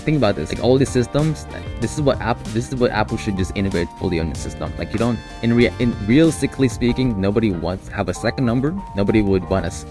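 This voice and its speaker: A man talking casually on a live stream as a developer, in a halting way, often repeating and restarting his phrases. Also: fairly low pitch. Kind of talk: casual live stream talk about a coding project.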